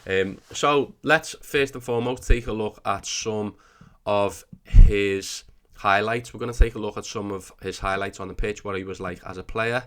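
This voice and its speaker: Thirties man, lowish voice, mild cold, easygoing rambling sports commentary. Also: moderate pace, fairly bright tone. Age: 30s. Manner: chatty and rambling, with many restarts. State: has a cold. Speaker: sports video host. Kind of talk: casual commentary over football clips.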